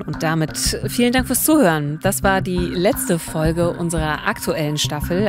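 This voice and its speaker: A woman in her forties with a standard German accent, co-hosting a true-crime podcast on a close studio microphone. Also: lowish voice. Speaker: podcast co-host. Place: small indoor recording studio. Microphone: close studio microphone on boom arm. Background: music.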